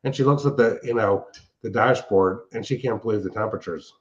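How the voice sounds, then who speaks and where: A man's voice: fairly low pitch, a little fast, a little hiss or hum. An older man chatting casually and technically, explaining things in a rambling way as a show host and hobbyist. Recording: dull, band-limited sound.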